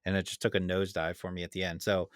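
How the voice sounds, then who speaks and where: A man's voice: low-pitched, little pitch movement, fast, clean tone, dry recording, studio quality. A man co-hosting a gaming podcast, giving casual, conversational opinions on games. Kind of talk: casual chat and informal game review.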